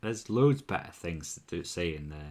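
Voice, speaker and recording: Deep-voiced Welshman chatting on a stream. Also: big pitch swings, moderate pace, a little mellow. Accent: Welsh, mixed with Scottish and northern English. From Wales. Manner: chatty and upbeat, many ums and restarts. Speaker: live-stream whisky tasting host. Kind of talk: casual live-stream chat reading out viewer comments.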